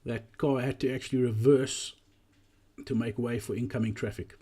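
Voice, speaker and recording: A man narrating a walkthrough presentation in a measured, explanatory way. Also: medium pitch, steady tone, dark tone, breathy edge.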